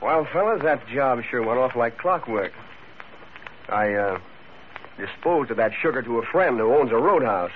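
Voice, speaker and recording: Man playing lively, crafty gang boss, tinny radio. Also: mid-range voice, moderate pace, close to an everyday voice. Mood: scheming. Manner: commanding, slangy. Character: bossy, crafty male hijack gang leader. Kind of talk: 1940s radio acting. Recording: tinny, faintly noisy old radio recording.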